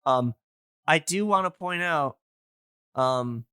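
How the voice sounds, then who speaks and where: A man's voice: a little high, animated, takes it slowly, sharp tone, clear, resonant tone, dry recording, clean studio sound. A man in casual podcast chat, talking in a rambling, hedging way as an enthusiast guest. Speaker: podcast guest and radio drama hobbyist.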